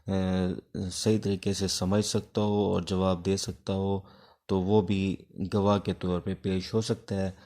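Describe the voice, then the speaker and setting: A man with a Pakistani accent giving an informal law lecture, explaining haltingly, mixing in English terms and posing questions that he then answers. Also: deep voice, mellow. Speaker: law teacher.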